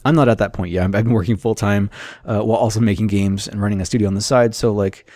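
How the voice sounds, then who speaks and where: A man's voice: fairly low pitch, a little mellow, dry recording, clean studio sound. A man giving a casual, unscripted monologue of advice as a game developer, conversational and thinking aloud. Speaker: solo indie game developer hosting an audio log.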